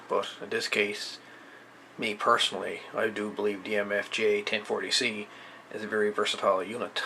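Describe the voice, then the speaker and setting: Male gear reviewer, low and forceful voice, hesitant off-the-cuff delivery, some noise in the recording. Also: poor audio. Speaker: amateur radio equipment reviewer. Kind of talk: casual unscripted product demonstration.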